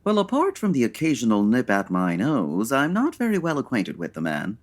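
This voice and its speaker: Flamboyant, fussy artistic gent, gushing endearments, hugely animated delivery, audio-drama comedy. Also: male voice, medium pitch. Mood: charming, amused. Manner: effusive, affected, drawn-out endearments.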